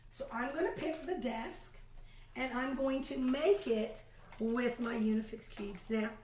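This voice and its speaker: Slightly raspy woman teaching in an echoey room. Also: a little high, background noise. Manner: chatty, counts aloud slowly while demonstrating. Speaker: kindergarten teacher showing math activities. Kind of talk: casual live video demonstration. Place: home, a phone ringing in the house.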